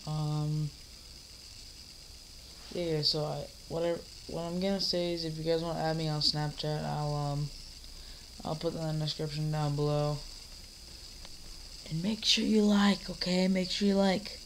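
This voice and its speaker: A man's voice: on the high side, little pitch movement, measured pace, pauses often, slight background noise, low-quality recording. A teenage boy coming down with a cold, rambling casually through a home vlog with lots of fillers and restarts. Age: teens. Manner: mock-scolds an imaginary heckler. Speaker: vlogger. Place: dim living room, seated in a recliner.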